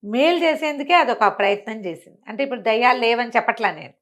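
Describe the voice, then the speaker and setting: A woman telling a bedtime story conversationally, in an expressive, explanatory way. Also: on the high side, fast. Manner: expressive, explanatory, acts out characters' dialogue.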